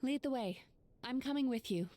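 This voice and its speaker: A woman's voice: high voice, animated, moderate pace, mellow. A woman playing a composed, caring young female dream therapist in a video game, articulate and explanatory. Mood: resolute. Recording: music behind.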